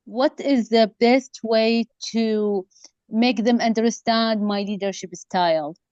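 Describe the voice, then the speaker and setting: Woman asking a question on a video call, slow and unsure, dry muffled audio. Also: on the high side, darkish tone, no room sound. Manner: hesitant, many fillers.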